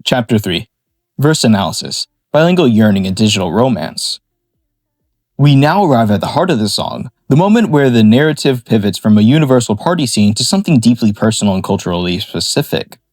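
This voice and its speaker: A man reading a scripted analysis of a song in a measured, explanatory way. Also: medium pitch, very animated, darkish tone, no echo, clean studio sound. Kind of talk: scripted narration.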